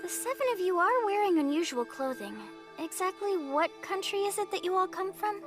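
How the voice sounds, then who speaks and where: A woman voicing a gentle, caring, shy young village girl in an English anime dub, with a solicitous, easily flustered manner. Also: extremely high pitch, animated, a little fast, over music. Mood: concerned.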